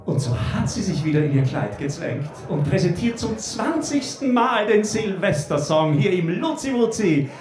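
A man's voice: sits high, sing-song, moderate pace, few pauses, over music. An actor playing a flamboyant male cabaret emcee in a radio drama, making a gushing, mischievous, showy stage announcement. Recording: echoey venue with clear crowd noise.

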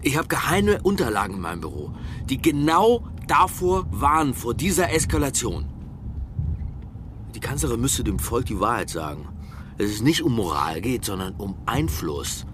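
A man acting a middle-aged, self-righteous politician in a radio crime drama, drunk and volatile. His pitch swings widely as he rambles and stammers pompously. Loud car noise sits behind him. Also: very animated, normal speed, close to an everyday voice. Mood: lecturing. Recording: noisy car interior ambience.

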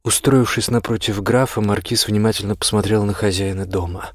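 Low, husky male audiobook narrator. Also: steady tone, a little fast, no room sound, studio quality. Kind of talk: scripted fiction narration.

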